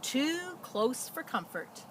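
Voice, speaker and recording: A woman's voice: high-pitched, very animated, slow pace, a bit bright, voice pushed up, full character voice. Woman reading a watchful, emphatic farmer, noisy outdoors. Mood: warning. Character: male farmer, watchful and cautioning, in a storybook.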